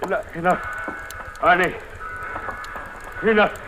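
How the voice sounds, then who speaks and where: An actor playing an insinuating, accusing village man with deliberate, formal phrasing in a 1950s radio drama. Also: high-pitched, steady tone. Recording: noisy old radio broadcast recording.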